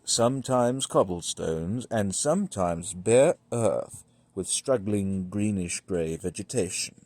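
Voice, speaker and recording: A man with a fairly low voice narrates literary horror very slowly. He phrases each passage deliberately and leaves dramatic pauses between them. The sound is dry and close, with little room in it. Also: darkish tone, no room sound. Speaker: audiobook-style reader. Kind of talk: scripted literary narration of a gothic horror story.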